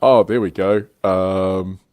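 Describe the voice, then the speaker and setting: A man with a deep voice hosts a relaxed hobby panel. He talks conversationally, and the sound is dry with little room in it. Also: slow pace, clean tone, no echo. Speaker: livestream host. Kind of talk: casual panel discussion of tabletop wargaming. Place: indoors, online livestream.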